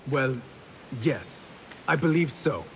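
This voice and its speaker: An actor playing an eager, respectful young apprentice assassin in a video game, earnest and questioning. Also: male voice, medium pitch, lively intonation, takes it slowly, creaky, fairly bright tone, a little hiss or hum, full character voice. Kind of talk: video game cutscene acting.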